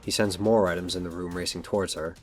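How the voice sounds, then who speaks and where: A man with a very low voice narrates a superhero audio drama. He reads scripted action scenes over faint music. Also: steady tone, fast talker.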